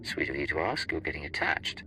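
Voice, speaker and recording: An actor playing a confident, dry-witted secret agent in a video game, terse, understated and suave. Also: male voice, very deep voice, fairly quick, gravelly, sharp tone, faint music behind, exaggerated voice, rough recording. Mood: dry, measured. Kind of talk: video game cutscene acting.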